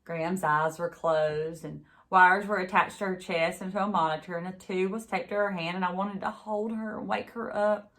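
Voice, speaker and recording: A woman with a slightly low voice narrates a story aloud. Her delivery is expressive, in the way of someone reading a children's novel to a class. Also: normal speed.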